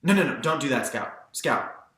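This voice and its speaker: An earnest boy from a storybook, as played by a man, polite and moody. His delivery is bright and halting, pitched up in moments of urgency. The room rings heavily with echo. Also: lively intonation. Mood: troubled, hesitant. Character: Jem, earnest boy of about twelve, polite, moody, Southern. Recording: very echoey room.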